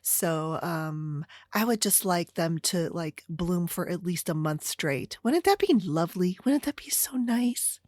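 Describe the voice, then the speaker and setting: A woman's voice: lowish voice, animated, measured pace, hardly any pauses, darkish tone, dry recording, clean studio sound. An American woman hosting a solo podcast, chatting casually in a rambling way. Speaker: podcast host.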